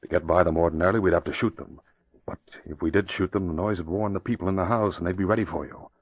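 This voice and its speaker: Casting: middle-aged commissioner, practical and commanding, low husky voice, vintage radio drama. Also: male voice, steady tone, fast. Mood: grave, practical. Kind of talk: 1940s radio acting. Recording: dull lo-fi old radio recording.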